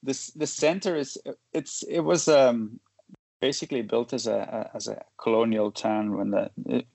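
A man in his forties, born and raised in Belgium, telling his story as a guest in a casual interview, halting with many restarts and fillers. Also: medium pitch, steady tone, creaky, darkish tone, dry recording. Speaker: guest, photojournalist.

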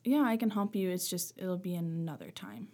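A woman's voice: on the low side, moderate pace, a little mellow. A woman from Nova Scotia with a Canadian accent, chatting casually as an interview guest. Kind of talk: casual chat.

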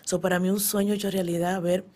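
A middle-aged woman speaks with a Dominican accent in a fairly low voice. She is a guest being interviewed, recounting her experiences in a casual, conversational way. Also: flat delivery, fast talker, darkish tone. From born in the Dominican Republic, emigrated to the US at ten. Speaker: guest, poet and actress.

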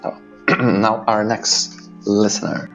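A man's voice: fairly low pitch, steady tone, slow pace, gravelly, fairly bright tone, faint music behind. A man hosting casually, introducing readers' recorded contributions. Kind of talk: casual hosting between clips.

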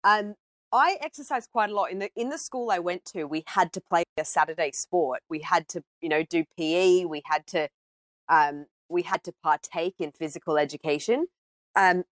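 A woman's voice: on the low side, moderate pace, no echo. A woman from Australia speaking as a podcast guest, telling personal stories in a conversational way.

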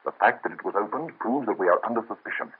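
An actor playing an earnest, anguished young physician in an old radio drama. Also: male voice, on the low side, animated, quick pace, husky. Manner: earnest, melodramatic. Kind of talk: 1930s radio drama acting. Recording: tinny old radio recording.

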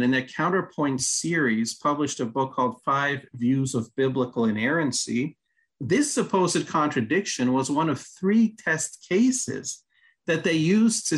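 Guy on a podcast, explains stuff step by step, slow careful lecture feel, says uh now and then. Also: fairly high pitch, fairly bright tone. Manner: methodical, lecturing with examples and filler "uh". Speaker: ministry director. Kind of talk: scripted-feeling podcast discussion, explaining scripture.

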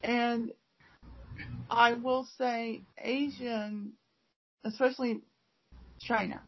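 A woman's voice: fairly high pitch, fairly even intonation, extremely slow, husky. A woman, an English teacher, chatting casually and rambling with many fillers and restarts. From United States. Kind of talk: casual conversation. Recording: dull lo-fi audio.

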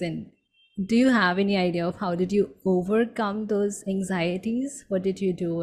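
A woman's voice: fairly low pitch, a little mellow. A woman hosting an interview podcast, asking long rambling questions and full of compliments. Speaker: podcast host.

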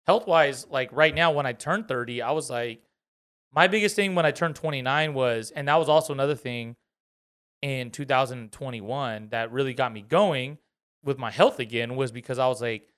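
A man's voice: mid-range voice, moderate pace, a bit bright. A man in his thirties co-hosting a podcast, talking loosely and profanely, laughing often. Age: 30s. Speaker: podcast co-host. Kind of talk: casual chat.

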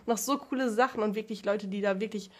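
A young woman talks in a relaxed, casual way as a podcast guest. Her voice sits at a middle pitch. She laughs often and fills her sentences with little hesitations. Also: quick pace. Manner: chatty, laughs often, many fillers.

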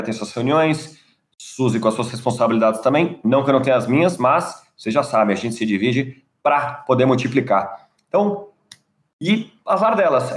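A man hosting a podcast, chatty and enthusiastic, talking over a remote call. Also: medium pitch. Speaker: podcast host.

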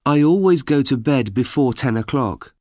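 A man reading example sentences for language learners, deliberate and evenly paced. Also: medium pitch, animated, a little mellow, no room sound, clean studio sound. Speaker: course audio speaker. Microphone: dry studio mic.

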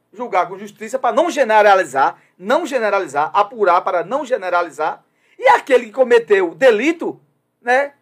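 A man's voice: sits high, breathy edge. A man from Brazil giving opinion commentary on his show, hesitant with many fillers at first, then emphatic and insistent. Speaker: commentator on a radio or web show.

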